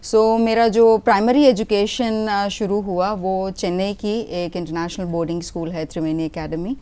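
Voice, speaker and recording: A woman in her forties from Gujarat, a retired army officer speaking as a guest in a broadcast interview, measured, with many pauses and English phrases mixed in. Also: mid-range voice, steady tone, mellow, smooth. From Gujarat, India.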